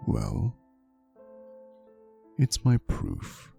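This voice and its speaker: A man voicing a centuries-old, devoted vampire boyfriend in an intimate ASMR roleplay, with a gravelly voice and a soft, theatrical, old-fashioned way of telling his story. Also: wildly swooping pitch, extremely slow, soft background music, heavily acted. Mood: reassuring, tender. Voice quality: very deep, gravelly.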